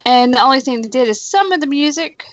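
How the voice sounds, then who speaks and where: A woman's voice: high voice, expressive, fast talker, no echo, highs cut off. A woman streamer joining a casual chat, curious and asking questions. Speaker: guest, streamer.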